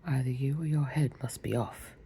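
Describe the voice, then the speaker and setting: A woman's voice: rumbling low, normal speed, dark tone, a little hiss or hum. A woman voicing a commanding, short-tempered queen in a whisper with a clipped, put-on English accent. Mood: imperious.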